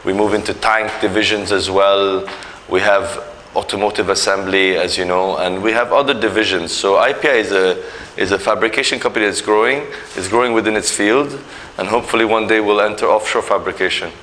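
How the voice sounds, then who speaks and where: A man's voice: lowish voice, steady tone, fairly quick, a bit bright, a little hiss or hum, rough recording. A man from Nigeria, a company executive speaking earnestly in an interview, with many fillers and restarts. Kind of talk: corporate interview talk.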